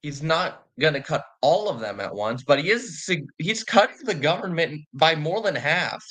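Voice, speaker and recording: A man's voice: on the high side, big pitch swings, moderate pace, a bit bright. A man from California hosting a political stream, talking casually and restarting his sentences. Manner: conversational, restarts mid-sentence. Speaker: stream host. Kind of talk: political commentary chat.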